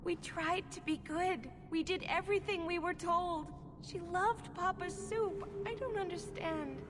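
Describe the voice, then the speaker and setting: A woman's voice: extremely high pitch, measured pace. A woman playing a timid, naive young elven slave girl in a video game, meek, anxious and innocent. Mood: frightened, bewildered. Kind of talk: dramatic video game acting. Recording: reverberant hall, noisy game ambience.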